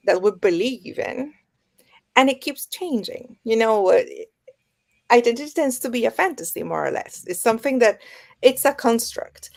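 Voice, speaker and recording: A woman guest on a podcast, talking reflectively and building her ideas up in lists. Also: fairly high pitch. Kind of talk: reflective conversational podcast talk.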